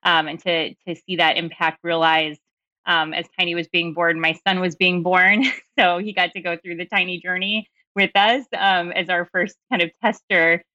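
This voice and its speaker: Bright, forceful low-voiced woman, chatty. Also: fairly even intonation, normal speed, dry recording, lo-fi sound. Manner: chatty, rambling, punctuated by small chuckles. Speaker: startup founder, guest. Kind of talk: casual spoken self-introduction.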